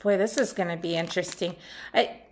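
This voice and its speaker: A middle-aged woman chatting casually as she unboxes a swap package at home, rambling and thinking out loud, her voice a little worn as she comes down with something. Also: on the low side, steady tone, fairly quick, slight background noise. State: losing her voice, getting sick. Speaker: nail art swap host. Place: sunny room at home by a window.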